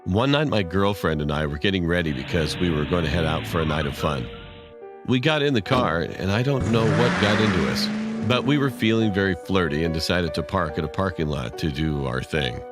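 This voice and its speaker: A man narrates a scary story in a very low voice. He reads from a script in the role of storyteller. Music is clearly heard behind him. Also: animated, fairly quick.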